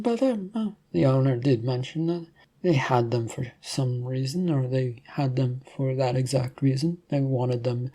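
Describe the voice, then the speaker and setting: A man's voice: medium pitch, warm tone, husky. A man voicing an evasive, eerily courteous realtor in a horror story, with hesitant, salesman-like patter. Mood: evasive, flustered. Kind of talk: storybook horror character acting.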